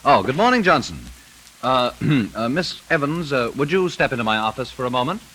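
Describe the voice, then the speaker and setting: A man's voice: medium pitch, big pitch swings, fairly quick, a bit bright. A man playing a stuffy, hesitant assistant bank manager in a 1940s radio drama. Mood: flustered, stuffy. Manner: pompous, hesitant, stammering. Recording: faintly noisy 1940s radio recording.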